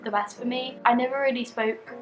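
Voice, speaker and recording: A woman's voice: fairly high pitch, steady tone, fairly quick, echoey room, music in the background. A 20-year-old woman from London with a southern British English accent, chatting casually and candidly to camera as a vlogger. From London, England.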